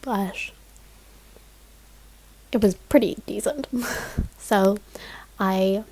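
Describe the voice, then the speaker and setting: A woman's voice: mid-range voice, extremely slow, poor audio. Chatty young woman rambling about books. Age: young adult. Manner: chatty, rambling, lots of "like" fillers, soft laughs. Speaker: book vlogger. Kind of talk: casual reading vlog chat.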